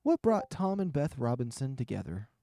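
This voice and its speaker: A man's voice: mid-range voice, sing-song, moderate pace, gravelly, dark tone, no echo. A man reading scripted first-person narration from a visual novel.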